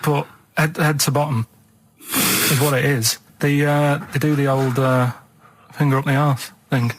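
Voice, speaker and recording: A man's voice: mid-range voice, steady tone, unhurried, slight background noise. A man in his thirties with a Mancunian accent chatting casually on radio, blunt, plain-spoken and reluctant. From Manchester, England. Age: 30s. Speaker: radio show sidekick. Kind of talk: casual chat.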